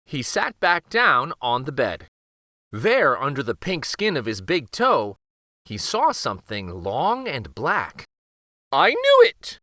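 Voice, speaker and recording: A man's voice: medium pitch, wildly swooping pitch, slow, pushed and bright, no echo, studio quality. A man hosting a children's read-aloud, narrating a picture book expressively.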